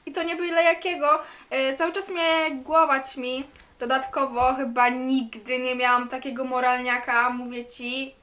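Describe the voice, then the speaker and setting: Bright, high-voiced party girl played by a woman. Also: little pitch movement, unhurried. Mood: gossipy, chatty. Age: young adult. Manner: gossipy, colloquial. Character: talkative, bold, party-loving young woman. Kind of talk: amateur student radio drama acting.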